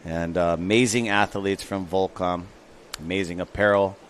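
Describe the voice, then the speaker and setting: A man's voice: deep voice, steady tone, moderate pace, a little hiss or hum. Laid-back surf contest commentator, a man. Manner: easygoing, chatty. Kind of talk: live sports commentary.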